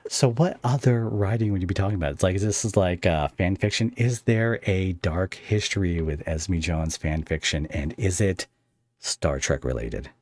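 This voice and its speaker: A man's voice: deep voice, normal speed, darkish tone, dry recording. A man hosting a casual interview, talking in a rambling, stop-start way. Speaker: podcast host interviewing a guest.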